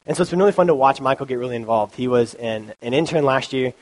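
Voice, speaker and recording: A man's voice: mid-range voice, quick pace, rough recording. Young man with a cold, chatty youth sermon. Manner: informal, chatty, many ums and likes. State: has a cold, sniffly. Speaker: youth pastor. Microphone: handheld or stand mic.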